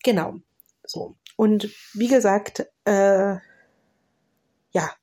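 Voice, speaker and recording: A woman hosting her own podcast while she has a cold, talking loosely with many fillers, restarts and throat-clearing. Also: medium pitch, very slow, mellow. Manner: clears throat now and then. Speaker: podcast host and mentor. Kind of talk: solo podcast talk.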